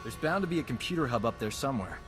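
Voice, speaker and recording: An actor playing a cocky, flirtatious rogue doctor in a video game, with a breezy, self-assured manner. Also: male voice, medium pitch, expressive, rapid-fire, lightly acted. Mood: breezy, flirty. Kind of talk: video game cutscene acting. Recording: game music behind the voice.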